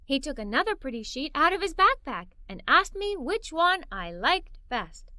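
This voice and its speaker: A woman reading a children's story aloud in an animated, playful, emphatic way, as a young girl telling it. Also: sits high, moderate pace, no room sound, clean studio sound. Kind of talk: expressive read-aloud storytelling in a young girl's first person.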